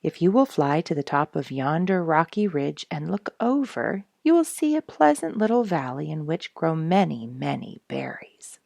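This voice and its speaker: A woman voicing a wise, thoughtful male grouse in a storybook, giving helpful directions in a measured manner. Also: deep voice, sing-song, gravelly, a little mellow, no echo, heavily acted. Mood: calm, helpful.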